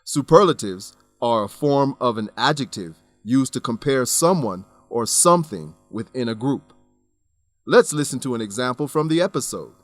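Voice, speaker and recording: A man narrating a scripted English lesson, enunciating deliberately as he explains words and grammar. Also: medium pitch, animated, dry recording, soft background music.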